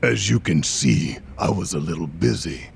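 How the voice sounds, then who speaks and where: An actor playing a cocky, nonchalant hired impostor in a video game, with laconic delivery. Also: male voice, low voice, animated, normal speed, creaky, a little hiss or hum, heavily acted. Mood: cool, nonchalant. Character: cocky, unhurried hired impostor, adult man. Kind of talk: English video game voice acting.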